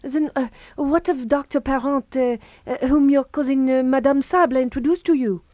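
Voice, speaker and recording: An actress playing an anxious, devoted French maidservant in an audio horror drama, deferential, earnest and hesitant. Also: female voice, high-pitched, moderate pace, warm tone, no echo, studio quality, natural voice. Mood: timid, concerned.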